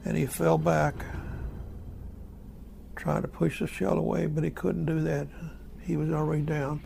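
An elderly American war veteran recounting his memories in a folksy, halting, repetitive way. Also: a little high, little pitch movement, gravelly, mellow, a little hiss or hum. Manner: folksy, halting, repeats himself. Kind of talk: spoken first-person war reminiscence.

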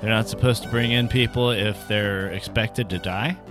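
A man's voice: on the low side, steady tone, moderate pace, over music. A man co-hosting a podcast in casual comedic chat, with dry, joking asides. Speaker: podcast co-host.

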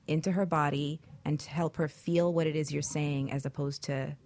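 A woman's voice: deep voice, fairly even intonation, moderate pace, darkish tone. A woman guest on a talk show giving conversational advice in an earnest, emphatic way.

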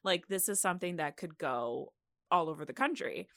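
A woman's voice: fairly low pitch, lively intonation, moderate pace, vocal fry, fairly bright tone, no room sound. A woman co-hosting a podcast, telling radio history in a casual, giggly, conversational way. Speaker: podcast co-host.

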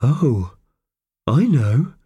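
A man voicing a mild, slightly slow-witted male friend in a children's audiobook, gently puzzled. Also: mid-range voice, very animated, slightly rough, no echo, muffled recording, pitched above the performer's own voice, heavily acted. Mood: mild, reassuring.

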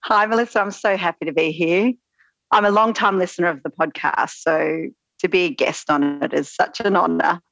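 A woman's voice: lowish voice, normal speed, vocal fry, a bit bright, no room sound, dull audio. A woman with an Australian accent, a guest on a podcast, speaking modestly with hesitant fillers. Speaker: guest astrologer. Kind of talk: podcast interview.

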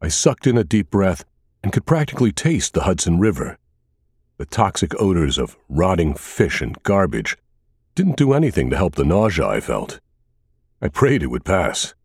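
A man reading a scripted first-person thriller as an audiobook narrator, with a measured, dramatic delivery. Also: sits low, sing-song, darkish tone, husky, no echo, studio quality.

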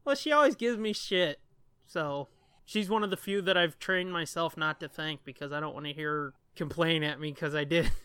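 Guy podcasting, bright crisp voice, loose rambly chat, bit sweary, dry sound. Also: on the high side, no room sound. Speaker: podcast co-host.

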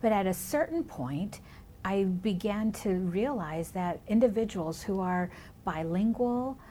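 A woman's voice: medium pitch, lively intonation, unhurried, dark tone, a little hiss or hum. A woman whose first language is Spanish, hosting a reflective conversation about education, with frequent restarts. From California, raised in Minnesota. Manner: reflective, many restarts and "you know"s. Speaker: host.

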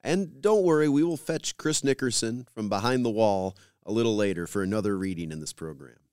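A man's voice: fairly low pitch, expressive, brisk, darkish tone, clear, resonant tone, no echo, clean studio sound. A man with an American accent reading scripted introductions as a podcast host.

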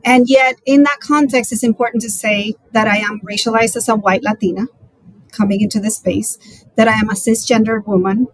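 Woman picking her words slowly in an interview, kinda high voice, very dry sound. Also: no room sound, low-quality recording. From Dominican Republic. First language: Spanish. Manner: deliberate, searching for words, with halting repeats. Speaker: clinical associate professor, podcast guest. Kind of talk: reflective conversational interview answer.

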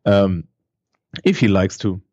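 A man's voice: low voice, expressive, takes it slowly, a little mellow, dry recording, highs cut off. A man with a Thuringian German accent, a teacher who speaks English as a second language and talks casually with many restarts and fillers. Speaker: teacher and podcaster, guest. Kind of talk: casual conversation.

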